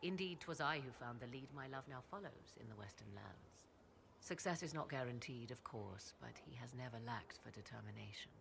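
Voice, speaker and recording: An actress playing a proud, sardonic sorceress in a video game, with archaic, formal, haughty diction. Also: female voice, a bass voice, expressive, normal speed, creaky, noisy background, heavily acted. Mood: composed, wistful. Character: proud, sardonic sorceress, devoted mother and lover. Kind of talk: dramatic video game dialogue.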